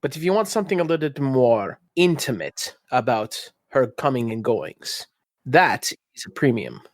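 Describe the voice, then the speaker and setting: A man playing a sheepish, shady pickpocket informant who haggles in a measured, evasive way in a tabletop game. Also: medium pitch, very animated, no room sound, clean studio sound. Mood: firm, businesslike.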